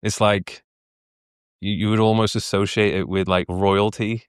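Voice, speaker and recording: A man's voice: on the low side, little pitch movement, unhurried, clear, resonant tone, no echo, studio quality. A man speaking casually and conversationally as the host of a podcast.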